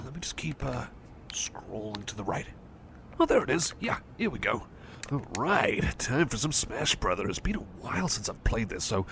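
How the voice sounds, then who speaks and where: A man's voice: mid-range voice, vocal fry, fairly bright tone, slight background noise, exaggerated voice. A man playing a shy, friendly cyborg chum in an ASMR roleplay, hesitant and rambling, often apologising and repeating himself. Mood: eager, hesitant. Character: shy, friendly male cyborg chum, eager but self-doubting. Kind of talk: ASMR personal-attention roleplay, soft one-sided conversation.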